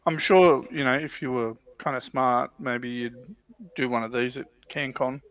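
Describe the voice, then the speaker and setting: A man's voice: mid-range voice, fairly even intonation, a little slow, a bit bright, dull audio. A man hosting a casual hobby livestream chat, talking hesitantly and restarting his phrases. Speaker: livestream host.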